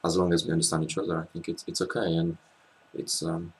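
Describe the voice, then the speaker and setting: A man in his twenties with a French accent, chatting casually as a guest, hesitant with many pauses and restarts, recorded on a laptop webcam in a small room. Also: low voice, monotone, creaky, slight background noise. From France. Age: 20s. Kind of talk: casual interview chat.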